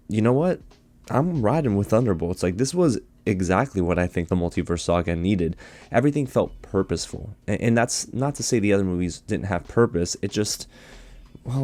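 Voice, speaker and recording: A man's voice: low-pitched, warm tone. A man hosting his own channel, chatting enthusiastically to viewers in a casual movie review while getting over a cold.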